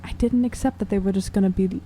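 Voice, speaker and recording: A woman's voice: medium pitch, fairly even intonation, fast talker, warm tone, a little hiss or hum. A woman talking as a player in a tabletop game, earnest and emphatic in a casual discussion.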